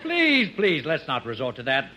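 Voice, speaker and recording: An actor playing a muddled, absent-minded, fussy lawyer in an old radio comedy, flustered and emphatic. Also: male voice, medium pitch, huge pitch swings, moderate pace, pushed and bright, faint music behind, full character voice. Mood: muddled, brisk. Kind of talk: 1950s radio comedy acting. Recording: noisy old radio recording, studio audience.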